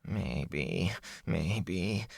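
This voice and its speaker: A man acts out a ragged, sly peddler from a fantasy audiobook. He rasps and whines in rustic turns of phrase, fearful yet boastful, with pitch that rises and swings about. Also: very animated, measured pace, pushed and bright, dry recording, studio quality, full character voice. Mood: pleading, wary. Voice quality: raspy, sometimes dropping to a hoarse whisper. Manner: whining, indignant, folksy rustic phrasing.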